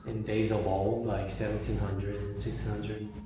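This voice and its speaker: A man's voice: lowish voice, little pitch movement, moderate pace, warm tone. A young man whose first language is Korean, rambling casually with many restarts and fillers. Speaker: reader talking about books. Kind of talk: casual monologue. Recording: very echoey room, voice from far off.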